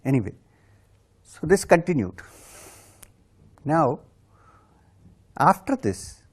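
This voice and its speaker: A man and spiritual teacher, whose home language is Urdu, calmly recounting his own life story with measured pauses. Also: fairly high pitch, slightly rough.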